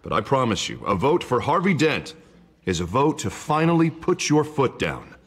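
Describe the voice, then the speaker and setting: A man's voice: mid-range voice, big pitch swings, normal speed, breathy edge. An American man acting as an upbeat, polished district attorney running for mayor in a video game. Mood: rousing, grateful. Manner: polished, jovial speechmaking. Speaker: politician campaigning for mayor. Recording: music behind the voice.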